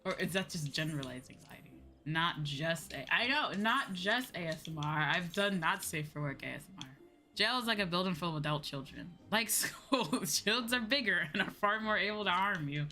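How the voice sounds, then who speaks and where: A woman's voice: on the low side, expressive, normal speed, bright, forceful tone. A young woman chatting casually and informally as she livestreams a game, with a giggly manner. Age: young adult. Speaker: streamer. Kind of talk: casual livestream chat while gaming. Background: music.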